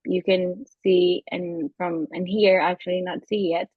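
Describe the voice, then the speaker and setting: A young woman with a Venezuelan accent, a native Spanish speaker, talking thoughtfully and a little haltingly as a therapist guest in a casual interview. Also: fairly low pitch, steady tone, slightly rough, dry recording. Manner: thoughtful, halting, searching for words. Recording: slightly dull lo-fi audio.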